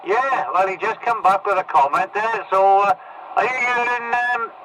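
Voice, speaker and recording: A man's voice: high-pitched, moderate pace, a loud crowd. A man running a casual CB radio net, talking in a rambling, hesitant way over a radio transmission. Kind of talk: casual amateur radio chat. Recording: very noisy CB radio reception.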